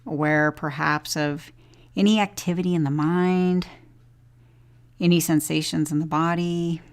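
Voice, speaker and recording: A woman leading a guided body scan meditation as a teacher, giving gentle, unhurried instructions with long pauses. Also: low-pitched, fairly even intonation.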